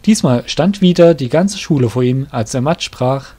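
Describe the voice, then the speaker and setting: A man's voice: mid-range voice, lively intonation, moderate pace, mellow, breathy edge. A man narrating a scripted fantasy story as the narrator of an audio drama. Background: music.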